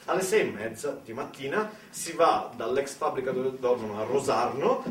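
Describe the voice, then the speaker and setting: A man delivering a conversational theatrical monologue in speech, with dramatic pauses and asides to the listener. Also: mid-range voice. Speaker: solo stage storyteller. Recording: roomy, distant mic, faint noise.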